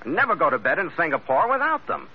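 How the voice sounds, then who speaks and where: A hardboiled sea captain, old muffled radio. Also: male voice, fairly high pitch, huge pitch swings, quick pace, very noisy. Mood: wry, matter-of-fact. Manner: hardboiled, vivid storytelling, terse. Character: tough wry male sea captain narrating his adventures. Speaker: lead actor and first-person narrator. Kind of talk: 1940s radio adventure drama acting. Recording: dull old radio recording, loud music behind.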